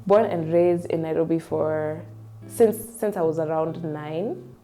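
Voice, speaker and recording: Kenyan woman, mellow low voice, casual rambling interview talk over soft background music. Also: steady tone, measured pace. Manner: chatty and rambling, with frequent "like" and "um". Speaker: singer-songwriter guest.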